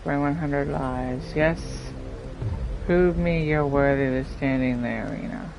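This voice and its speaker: A man doing a casual game playthrough, reading dialogue aloud and talking in a halting way, sometimes stumbling over words. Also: mid-range voice, vocal fry, over music, low-quality recording. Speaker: game streamer.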